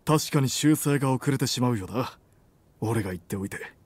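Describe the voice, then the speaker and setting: A man in his thirties playing a suave, assertive company president and boyfriend in an intimate romance audio drama, soft and breathy, gentle yet commanding. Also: mid-range voice, lively intonation, normal speed, no echo, natural voice. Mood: relaxed, affectionate. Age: 30s.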